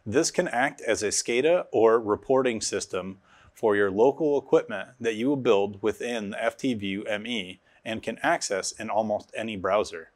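A man in his thirties giving scripted instructional narration as a technical specialist, recorded on a lapel mic in a studio. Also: lowish voice. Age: 30s. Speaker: automation specialist presenting a tutorial.

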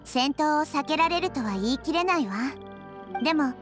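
A woman's voice: high voice, animated, normal speed. A woman voicing a kind, wise little nature goddess in an anime game, girlish yet composed, with refined feminine phrasing. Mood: thoughtful. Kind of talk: Japanese anime game voice acting. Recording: game music behind.

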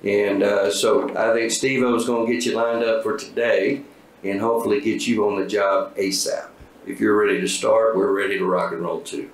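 A man at a school board meeting welcoming a new staff member in a relaxed, joking way with many fillers. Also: lowish voice, moderate pace. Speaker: school district official welcoming a new hire. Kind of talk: casual remarks at a board meeting. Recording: echoey meeting room.

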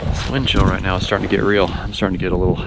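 Excited man ice fishing in loud wind. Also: low voice, rapid-fire. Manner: excited outbursts when fish bite. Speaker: fishing vlogger. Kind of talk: casual running commentary while ice fishing. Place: outdoors on a frozen pond in strong wind. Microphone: body-worn action camera. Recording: loud wind noise on the mic.

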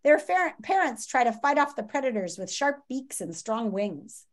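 High, animated woman reading aloud. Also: moderate pace. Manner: expressive, dramatic storytelling with questions to listeners. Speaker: teacher reading aloud to children. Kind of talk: animated read-aloud of a nonfiction children's book with asides. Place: small room at home.

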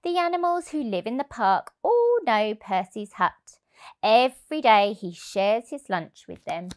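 Female storybook narrator, thirties, higher-pitched, very lively intonation, deliberate delivery for young children. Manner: expressive, deliberate. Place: indoor room, on a sofa. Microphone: lapel mic.

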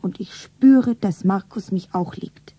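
An actress playing a lovestruck, anxious young shop girl in a romance radio play, breathy and often whispering, dreamy and sentimental. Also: medium pitch, takes it slowly, dark tone, dry recording. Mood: troubled. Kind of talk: 1970s German radio play, pulp romance.

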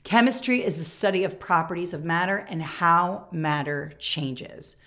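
A woman's voice: fairly low pitch, unhurried, fairly bright tone. American woman teacher in her 40s, talking you through a classroom lesson, emphatic, chatty, checks in a lot. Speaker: teacher and teacher trainer. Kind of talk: instructional demonstration of classroom reading, conversational. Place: small furnished room indoors.

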